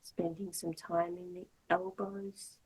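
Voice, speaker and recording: A woman yoga teacher giving slow, measured guided meditation instructions in short, gentle phrases with long pauses. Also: on the low side, level tone, breathy edge, poor audio.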